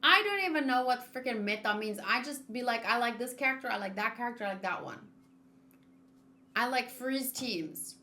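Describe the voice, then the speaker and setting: A woman's voice: on the high side, animated, moderate pace, pushed and bright. A woman chatting informally on a gaming livestream, reacting aloud as she talks. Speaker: streamer. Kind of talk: casual livestream chat while gaming.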